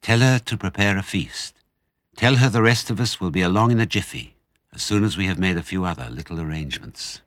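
A man voicing a clever, excitable father fox from a children's storybook, fond of his children, exclaiming and giving orders with pride. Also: sits low, a little fast, no room sound. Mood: brisk, fatherly. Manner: exclamatory, boastful, affectionate.

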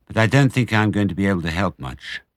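A man's voice: fairly low pitch, lively intonation, fast, dry recording, studio quality, natural voice. A man voicing a weak, starving mother fox from a children's storybook, speaking apologetically. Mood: weak, sorry. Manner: feeble, apologetic.

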